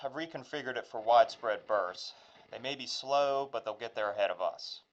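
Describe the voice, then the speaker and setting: A man's voice: mid-range voice, moderate pace, lightly acted. Man acting folksy, grumbling space engineer, lo-fi. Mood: weary, wry. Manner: casual, wisecracking, grumbling. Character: folksy, world-weary male spaceship engineer, joking. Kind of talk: science fiction audio drama acting.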